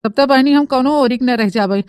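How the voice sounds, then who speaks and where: A woman's voice: high voice, fairly quick, darkish tone, no echo, lightly acted. A woman voicing a scheming, grumbling young village bride with an eastern Uttar Pradesh accent in a comic cartoon. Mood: panicked, lamenting. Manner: melodramatic, grumbling. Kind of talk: comic cartoon story dialogue in rustic dialect.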